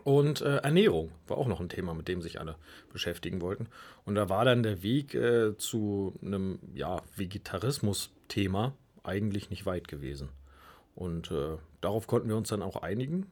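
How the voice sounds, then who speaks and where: Male studio guest, rough-edged lower voice, casual and hesitant conversational delivery. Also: expressive, moderate pace, darkish tone. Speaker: youth radio workshop leader, interview guest. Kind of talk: casual conversational interview answers. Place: radio studio.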